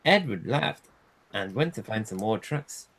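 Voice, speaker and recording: A man reading a children's storybook aloud as a storyteller, in an animated style. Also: fairly low pitch, a little slow. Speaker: storyteller, streamer.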